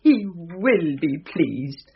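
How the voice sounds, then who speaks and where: An actress playing a kindly, genteel elderly spinster in a radio drama, talking in a fluttery, fussing way. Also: female voice, fairly low pitch, sing-song, extremely slow, muffled recording, slight background noise, full character voice. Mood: delighted. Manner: genteel, fluttery, wide-swinging voice.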